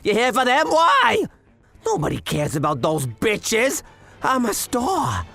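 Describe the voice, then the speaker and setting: Wildly animated, crazed cocky fighter. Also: male voice, very high voice, slow, exaggerated voice. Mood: enraged. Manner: boastful, frantic. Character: cocky, sadistic young male fighter, crazed and arrogant. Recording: music behind the voice.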